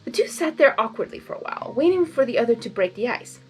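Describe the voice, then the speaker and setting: A woman reading fan fiction aloud in expressive storybook narration, with informal asides. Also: a little high, quick pace, slightly rough, faint music behind.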